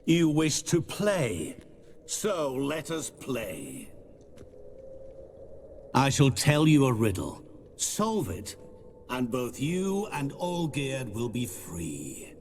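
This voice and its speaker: An actor playing a genial, sinister demon posing as a merchant, courteous and quietly menacing in a video game cutscene. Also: male voice, mid-range voice, wildly swooping pitch, slight background noise, heavily acted. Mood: playful riddling. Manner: speaks in slow, sing-song riddles. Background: orchestral game music.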